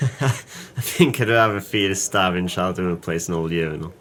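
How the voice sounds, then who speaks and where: An actor playing a jovial, tipsy, hard-up former sailor in an audio play, talking in hearty, flowery, boastful phrases. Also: male voice, deep voice, normal speed, fairly bright tone, a little hiss or hum. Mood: musing, mildly aggrieved.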